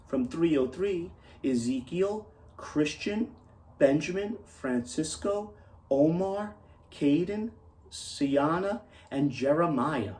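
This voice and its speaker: A man's voice: medium pitch, mellow. A man speaking as a teacher to schoolchildren in a plain, encouraging way, recorded at some distance from the microphone. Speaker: teacher and read-aloud host. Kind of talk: addressing schoolchildren on video.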